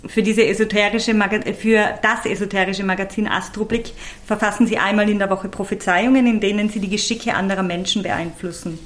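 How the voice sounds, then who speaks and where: A woman's voice: medium pitch, fairly even intonation, a little fast, few pauses. A woman co-hosting a literature podcast, asking engaged questions and reading a plot summary. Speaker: podcast co-host. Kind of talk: casual chat and read summary.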